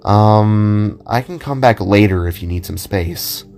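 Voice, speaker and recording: An eager, friendly robotic spark played by a man for an audio drama. He speaks in a low voice, hesitant and awkward, rambling as he tries to be sociable. Faint music plays behind him. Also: unhurried, vocal fry, darkish tone. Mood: awkward, nervous. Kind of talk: self-narrated audio drama.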